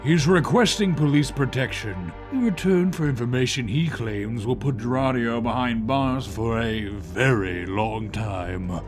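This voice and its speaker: An actor playing a serious, measured police chief in an audio drama, briefing officers in a grave, deliberate way. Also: male voice, mid-range voice, over music, close to an everyday voice. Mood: serious, businesslike.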